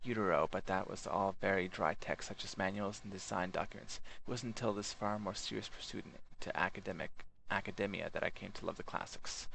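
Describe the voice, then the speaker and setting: Male reader as a self-important alien scholar for a game memoir, florid and bookish, deep with a creaky edge. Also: steady tone, brisk, few pauses, a bit bright, a little hiss or hum, poor audio. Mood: self-satisfied. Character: pompous male alien intellectual recalling his youth. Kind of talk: first-person memoir narration.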